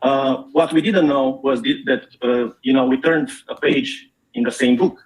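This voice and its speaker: A middle-aged man from Romania speaks at a forum in an earnest, measured voice. He pauses often with small fillers as he lays out his points. His pitch sits in the ordinary middle range. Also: steady tone, poor audio. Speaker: investigative journalist speaking at a forum. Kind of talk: prepared public talk.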